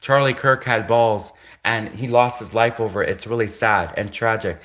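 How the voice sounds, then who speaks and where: Man, nasal, bright tense tone, from Canada, livestream chat, halting and repetitive. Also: medium pitch. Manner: halting, repeats himself, restarts phrases. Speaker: livestreamer answering chat comments. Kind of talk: casual livestream talk to chat.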